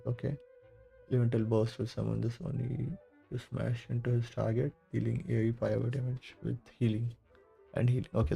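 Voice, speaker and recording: A man's voice: mid-range voice, vocal fry, mellow, husky, rough recording. A man giving casual live game commentary, offhand and half talking to himself as he plays. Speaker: game streamer.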